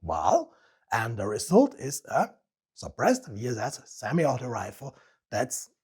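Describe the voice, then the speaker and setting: A man's voice: medium pitch, wildly swooping pitch, measured pace, a little mellow, slightly rough. A man with an Austrian accent hosting a joking product review in a playful, self-mocking manner. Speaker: host of a firearms review channel.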